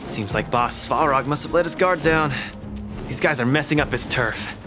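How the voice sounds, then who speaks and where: A man's voice: a little high, normal speed, music in the background, lightly acted. A young man playing a cocky, upbeat champion fighter in a video game, with a boastful, theatrical, eager delivery. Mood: eager, confident. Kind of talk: animated video game voice acting.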